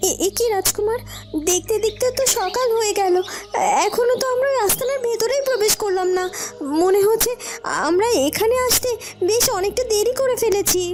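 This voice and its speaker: Very high-pitched earnest young heroine. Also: female voice, a little fast, darkish tone. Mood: worried. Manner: earnest, encouraging. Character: brave, reassuring young heroine girl of humble birth. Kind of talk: Bengali cartoon voice acting. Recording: faint music behind. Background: faint background music.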